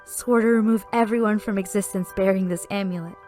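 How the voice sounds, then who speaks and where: A woman playing a somber young village woman haunted by a massacre, in an earnest, halting audio drama delivery. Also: medium pitch, steady tone, dark tone, close to an everyday voice. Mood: grave recounting. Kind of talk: dramatic audio roleplay acting. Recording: music bed under the voice.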